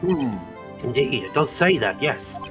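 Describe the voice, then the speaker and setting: A man's voice: mid-range voice, big pitch swings, music in the background. A man voicing a mild, ponderous, puzzled older judge in an amateur video game dub. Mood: pensive. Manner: measured, bemused.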